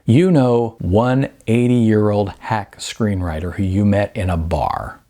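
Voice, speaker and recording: A man's voice: lowish voice, lively intonation, slow pace, dark tone. A man voicing a sarcastic, argumentative young record store clerk in an audiobook, a music snob with little patience.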